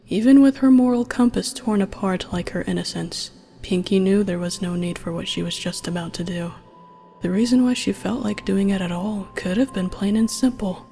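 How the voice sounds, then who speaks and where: A woman's voice: medium pitch, creaky, dark tone. A woman reading a scripted story aloud as its narrator. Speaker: narrator and reader.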